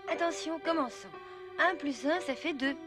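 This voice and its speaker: A woman playing a firm, patient female guardian and teacher in a French cartoon dub, speaking in an instructive, gently strict way. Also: high voice, moderate pace, close to an everyday voice. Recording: music behind the voice.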